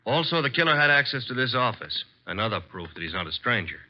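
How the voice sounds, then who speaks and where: A man playing a brisk, wry detective's assistant in a vintage radio mystery, matter-of-fact in delivery. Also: mid-range voice, sing-song, close to an everyday voice. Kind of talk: 1940s-50s radio mystery acting. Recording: dull vintage radio recording.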